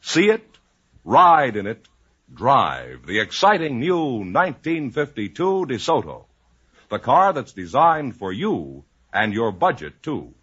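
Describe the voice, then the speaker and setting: A man's voice: medium pitch, huge pitch swings, slow, fairly bright tone, slightly rough. A man announcing on 1950s radio in an emphatic, polished commercial style. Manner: emphatic, polished sales delivery. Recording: muffled 1950s radio broadcast recording.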